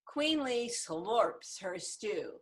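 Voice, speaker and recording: A woman's voice: fairly high pitch, expressive, very slow. A woman reading screenplay stage directions as scripted narration over a video call.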